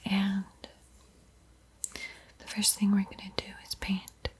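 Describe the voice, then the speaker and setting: A woman whispering softly and breathily in a soothing, playful ASMR roleplay as she guides a painting tutorial. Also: medium pitch, monotone, husky. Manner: slow, soothing, playfully teasing. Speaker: art tutorial host.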